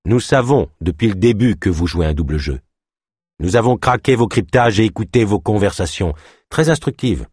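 A man's voice: low-pitched, very animated, a little slow, darkish tone, husky, no room sound, clean studio sound, exaggerated voice. A man voicing a scheming, contemptuous male mayor in an audiobook, cutting, menacing and triumphant. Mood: triumphant contempt. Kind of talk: audiobook character acting.

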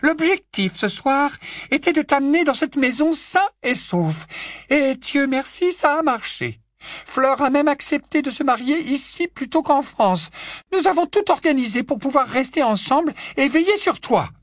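A man voicing an anxious, motherly middle-aged witch in an audiobook, speaking in a raised, drawn-out voice. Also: expressive, no echo, clean studio sound. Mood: scolding. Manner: high, drawn-out scolding.

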